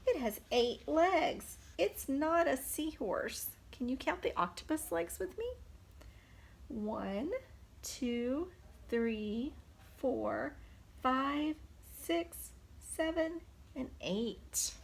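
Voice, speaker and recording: A woman's voice: sits high, expressive, extremely slow, vocal fry, slightly rough. A woman preschool teacher reading a picture book aloud, expressively, putting simple questions to young children. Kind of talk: children's storytime read-aloud.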